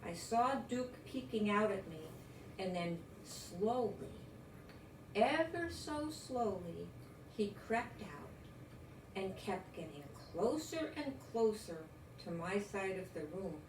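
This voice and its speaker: Slow-talking older woman reading a story. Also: mid-range voice. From United States. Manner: slow, gentle, expressive, encouraging. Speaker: storyteller reading picture books to children. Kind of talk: read-aloud children's story with chatty asides. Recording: roomy echo, distant mic, faint noise.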